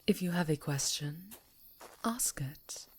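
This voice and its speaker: A woman voicing a haughty, elegant vampire countess in a hushed, theatrical ASMR roleplay. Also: lowish voice, animated, a little hiss or hum. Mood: sly, composed. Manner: hushed, breathy, theatrical, slow and deliberate.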